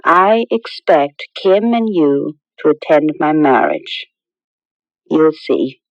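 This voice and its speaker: An actress playing a confident, outspoken young bride-to-be in a 1980s radio drama, brisk and skeptical. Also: female voice, low voice, expressive, no echo, full character voice. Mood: challenging. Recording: muffled old radio broadcast.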